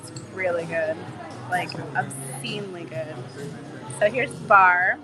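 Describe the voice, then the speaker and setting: A woman travel vlogger with a cold, her voice a bit hoarse, chatting in a bubbly, gushing way to her live viewers from a bar. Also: lowish voice, slow. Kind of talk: casual live-stream chat with viewers. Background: music playing in the bar.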